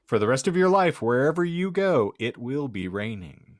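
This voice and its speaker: A man's voice: medium pitch, sing-song, normal speed, no echo, studio quality. A man hosting a podcast, reading out a comic hypothetical and bantering in a wry, wordy, mock-formal manner. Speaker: podcast host.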